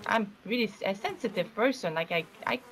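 A woman improvising casual in-character game roleplay, speaking in a halting, expressive way. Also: mid-range voice, slight background noise. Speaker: roleplayer playing an accused witch.

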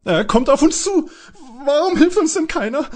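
A man's voice: very high voice, expressive, normal speed. A man playing a timid, anxious schoolboy detective in a parody detective audio play. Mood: frightened.

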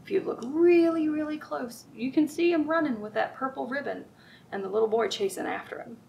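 A middle-aged woman reading aloud at a children's storytime, expressive and sing-song, drawing out rhymes and putting questions to young listeners. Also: high voice, a little fast, vocal fry, a little hiss or hum, poor audio. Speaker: children's librarian, storytime host. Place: library room with bookshelves, indoors.

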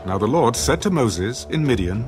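Guy narrating bible drama, very expressive up and down, music behind him. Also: medium pitch, normal speed. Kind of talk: dramatic scripted scripture narration.

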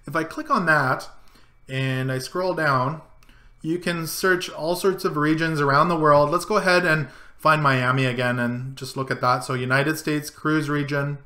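Middle-aged man, bright tense tone, slightly high voice, echoey home room, casual step-by-step tutorial. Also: steady tone, moderate pace. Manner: casual, walks through clicks and zooms step by step. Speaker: travel vlogger. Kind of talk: screen-share tutorial.

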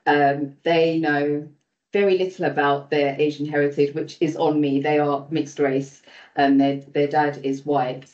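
Low-voiced East London woman. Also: unhurried. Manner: chatty, lively, with quoted asides. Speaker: panelist. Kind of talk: casual round-table introduction. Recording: echoey room, dull lo-fi sound.

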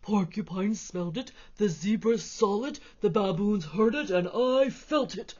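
Woman voicing a storybook rhino, steady, slow, matter-of-fact, dull lo-fi. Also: mid-range voice. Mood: firm. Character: big rhino, steady and plain-spoken.

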